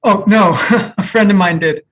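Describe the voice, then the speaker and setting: A woman playing a friendly, easygoing young cafe customer in a comedy table read, low-key and a little hesitant. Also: normal speed, slightly rough, lightly acted. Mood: relaxed, friendly.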